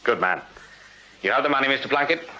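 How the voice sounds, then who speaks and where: An actor playing a shrewd Victorian police sergeant in a radio drama, speaking formally and with insistent authority. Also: male voice, mid-range voice, lively intonation, fast talker, bright, forceful tone, highs cut off. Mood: cool, dry. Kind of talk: full-cast radio drama acting.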